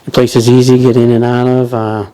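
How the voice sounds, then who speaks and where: Boston guy in his 40s, mellow dark voice, laid back rambly trucker chat in an office lounge. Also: mid-range voice, quick pace, low-quality recording. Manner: relaxed, rambling, laughs easily, lots of "you know". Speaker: truck driver. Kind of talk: casual interview chat.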